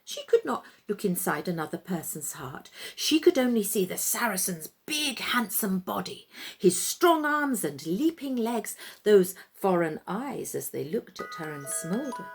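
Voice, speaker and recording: A woman reading a children's tale as a storyteller, in dramatic, expressive narration with deliberate phrasing and pauses. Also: mid-range voice, creaky, soft background music.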